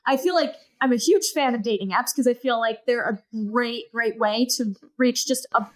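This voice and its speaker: A woman in her thirties speaking as a podcast guest, her voice high and bright. She tells her story earnestly and with animation, often quoting her own past thoughts aloud. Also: normal speed. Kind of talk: personal storytelling in conversation.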